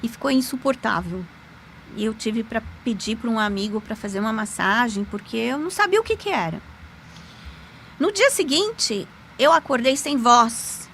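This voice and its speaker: Gravelly bright-voiced woman. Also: normal speed, a little hiss or hum. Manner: animated, emphatic, with sudden pitch jumps. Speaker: podcast guest, lawyer and magic teacher. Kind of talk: conversational storytelling.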